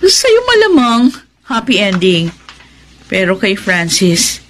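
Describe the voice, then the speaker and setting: A woman's voice: mid-range voice, huge pitch swings, slow, fairly bright tone, a little hiss or hum, exaggerated voice. A Filipino woman playing a knowing, teasing confidante in a radio drama, chatty and sure of herself. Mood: curious, knowing.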